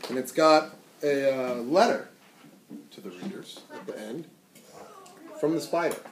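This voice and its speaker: A man reading a storybook aloud to children in a dramatic, theatrical and deliberate way. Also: mid-range voice, expressive. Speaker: story reader. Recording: echoey, noisy room, distant mic.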